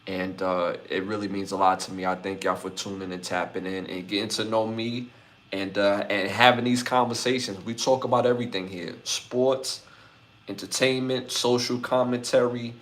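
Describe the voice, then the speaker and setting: A man in his forties hosting a podcast, closing a livestream with relaxed chat and shout-outs to viewers by name. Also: on the low side, normal speed. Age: 40s. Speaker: podcast host. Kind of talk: casual livestream sign-off chat.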